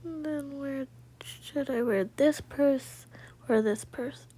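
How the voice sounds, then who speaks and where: A soft-spoken woman casually narrating her own gameplay in a low-key roleplay style, often dropping to a whisper. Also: takes it slowly. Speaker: gaming video creator voicing her own gameplay.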